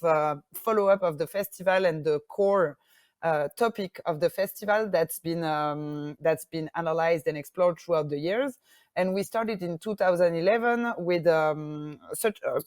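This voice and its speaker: A woman's voice: lowish voice, no echo. Woman with a Belgian French accent. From French-speaking Belgium. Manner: steady, with frequent fillers and restarts. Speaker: conference presenter. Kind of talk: presentation talk.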